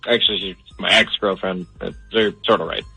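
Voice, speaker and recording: A man's voice: low voice, fairly even intonation, fast, pushed and bright, husky, no echo, soft background music. A man answering a phone call in a polite, hesitant manner. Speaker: prank call recipient.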